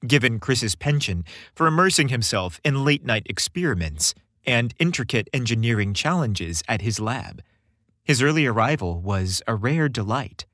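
Animated male podcast storyteller, dry close sound. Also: mid-range voice, moderate pace, no room sound. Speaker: podcast host and story narrator. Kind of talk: scripted audio story narration with ad reads.

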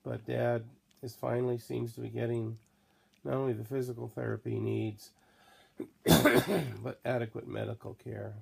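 A hoarse, tired middle-aged man rambling slowly in a late-night video diary, coughing now and then, recorded close on a laptop camera in a small room. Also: mid-range voice, little pitch movement, dark tone. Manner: slow, tired, rambling with many fillers. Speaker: vlogger. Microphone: laptop or phone camera close to face.

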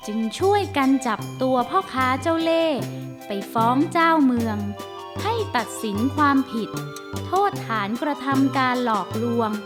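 A woman narrating a children's cartoon tale in an expressive storybook manner. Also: high-pitched, moderate pace, over music.

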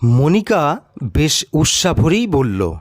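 A man giving a deliberate, dramatic first-person narration of a story. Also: fairly high pitch, very animated, no room sound, studio quality.

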